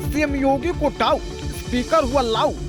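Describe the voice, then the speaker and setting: High voice, scripted news report, dramatic punchy narration, loud background music. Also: moderate pace. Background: loud news music bed.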